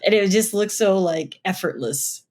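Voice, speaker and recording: A woman's voice: medium pitch, lively intonation, normal speed, a little mellow. A woman hosting an interview, asking casual questions in a chatty way, full of "like" fillers. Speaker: podcast host.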